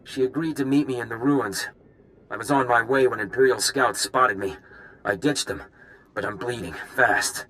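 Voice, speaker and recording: A man's voice: mid-range voice, moderate pace, bright, forceful tone, close to an everyday voice, poor audio. Strained, wounded male spy, acted by a man. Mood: strained urgency. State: in pain, struggling to speak. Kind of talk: video game voice acting.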